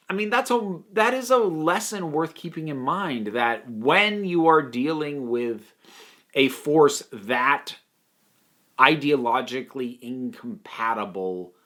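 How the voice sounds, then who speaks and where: A man giving a casual, opinionated review, leaning with deliberate emphasis on key words. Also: medium pitch, fairly bright tone.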